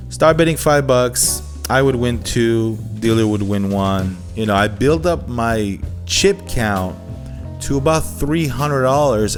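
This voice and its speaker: Chatty middle-aged man telling a story. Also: lowish voice. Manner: chatty, keeps checking in with "okay?" and "you know". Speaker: storyteller, channel host. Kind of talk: casual storytime monologue.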